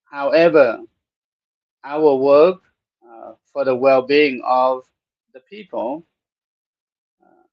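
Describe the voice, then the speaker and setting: Thai-accented male podcast host, slow and halting, dry, slightly dull sound. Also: mid-range voice, creaky, dry recording. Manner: slow, halting with many "uh" pauses. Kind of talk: unscripted podcast talk.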